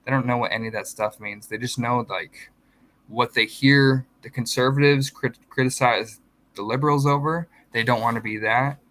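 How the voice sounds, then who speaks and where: A man's voice: medium pitch, steady tone, normal speed, a bit bright, low-quality recording. A man in casual podcast chat, explaining politics at length and correcting himself as he goes. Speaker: podcast co-host.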